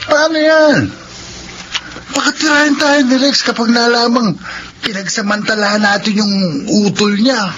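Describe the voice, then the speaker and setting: Whiny, high-pitched cowardly sidekick. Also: male voice, fairly even intonation, normal speed, over music. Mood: panicky, pleading. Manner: stammering, whining. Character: cowardly, panicky criminal sidekick, pleading and whiny. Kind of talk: radio drama acting. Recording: dull, noisy radio drama audio.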